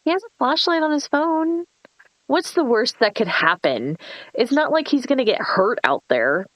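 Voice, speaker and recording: A woman's voice: sits high, very animated, normal speed, a bit bright, no echo, low-quality recording. A woman playing a bratty, flippant, foul-mouthed young woman with a teasing, sneering delivery in a horror script table read. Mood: dismissive.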